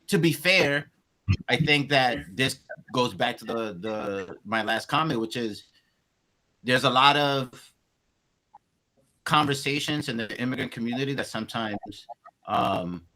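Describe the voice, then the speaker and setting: A man's voice: medium pitch, a little slow, long pauses, sharp tone, rough recording. A man in his forties from Colombia with a Spanish-inflected accent, reflecting thoughtfully as a podcast guest in a casual long-form conversation. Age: 40s. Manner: reflective, searching for words.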